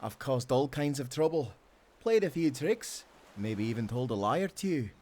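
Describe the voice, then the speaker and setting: An actor playing a devoted, playful sailor husband with a Scottish accent, speaking tenderly in old-fashioned seafaring language for a romantic audio roleplay. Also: male voice, medium pitch, lively intonation, normal speed, natural voice. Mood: playful, earnest. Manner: tender, teasing, given to soft chuckles.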